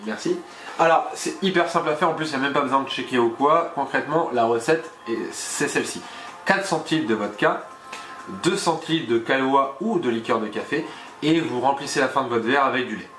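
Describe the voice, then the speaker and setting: A man co-hosting a casual chat over a cocktail, animated, laughing often and repeating words. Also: mid-range voice, fairly quick, soft background music. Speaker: co-host. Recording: echoey room, faint noise.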